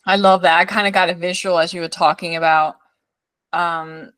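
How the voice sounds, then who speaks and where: A woman's voice: fairly low pitch, steady tone, normal speed, fairly bright tone, dry recording. A woman from Denmark hosting a podcast interview, talking in a rambling way with many restarts and appreciative remarks. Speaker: podcast host.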